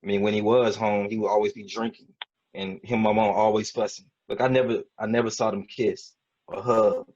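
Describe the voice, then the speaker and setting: A man's voice: on the low side, level tone, brisk, a little mellow, dull audio, lightly acted. A man playing a defensive, frustrated young husband in a dramatic table read, arguing in a colloquial way. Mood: subdued, reflective. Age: young adult. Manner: argumentative, colloquial.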